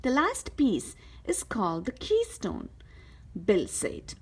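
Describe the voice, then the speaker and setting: A woman reading a children's picture book aloud as a storyteller, with careful, expressive delivery. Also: a little high, slow, slightly rough. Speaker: host of a read-aloud story channel.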